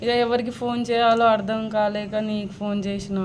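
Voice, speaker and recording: A woman's voice: mid-range voice, flat delivery, fairly quick. A young woman with a Telangana Telugu accent talks casually on a phone call in a plaintive, troubled manner. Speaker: vlogger making a prank phone call. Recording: clearly noisy background ambience.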